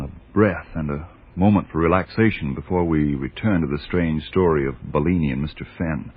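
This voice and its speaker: A man announcing in scripted 1940s radio style, with deliberate, emphatic salesmanship. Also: sits low, breathy edge. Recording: tinny, band-limited old radio recording.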